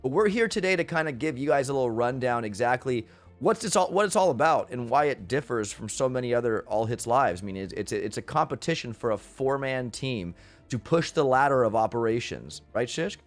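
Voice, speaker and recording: A man's voice: mid-range voice, lively intonation, fairly quick, faint music behind. A middle-aged man with a head cold and a stuffy nose, hosting a casual live stream in an upbeat, rambling way with lots of fillers and restarts. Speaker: streamer host.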